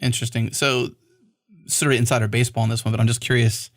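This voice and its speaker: Male podcast host, mid-pitch, curious, hedging his questions. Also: flat delivery, quick pace. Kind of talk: casual podcast interview.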